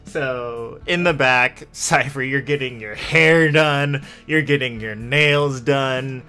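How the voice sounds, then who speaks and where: A man's voice: medium pitch, slow pace, bright, forceful tone, clean tone, faint music behind. A man narrating a tabletop roleplaying game as game master in a casual, conversational way.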